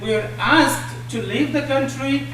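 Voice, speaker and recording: A high-pitched man, a Nepali speaker in his thirties, giving a personal testimony in halting, pause-filled phrases. He is picked up from a distance in a large, very echoey hall with a faint hum behind him. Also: a bit bright. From Bhutan. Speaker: refugee panelist.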